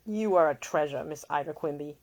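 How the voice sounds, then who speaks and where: A woman voicing a seasick gentleman in a storybook, weary, gentle and affectionate. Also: low voice, fairly quick. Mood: wry, fond.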